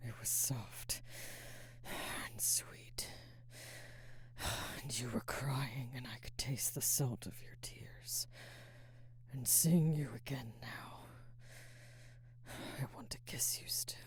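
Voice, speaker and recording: A woman playing a conflicted, protective alpha werewolf in an intimate ASMR roleplay, husky and halting, often slipping into a whisper. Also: very deep voice, exaggerated voice. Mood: tender, longing. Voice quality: husky, breathy, frequently dropping to a whisper. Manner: halting, hesitant, sighing. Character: adult female alpha werewolf, conflicted, protective, barely restrained.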